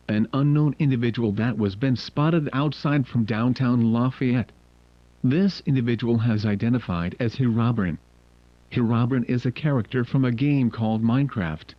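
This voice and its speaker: A man's voice: medium pitch, dry recording. Synthetic male emergency announcer, dull lo-fi sound. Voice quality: synthetic text-to-speech voice. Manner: formal, impersonal. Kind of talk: scripted emergency alert announcement.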